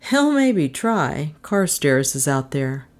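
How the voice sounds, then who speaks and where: A woman's voice: sits low, very animated, takes it slowly, heavily acted. A woman voicing a terse, tough man in an audiobook crime story, clipped and matter-of-fact.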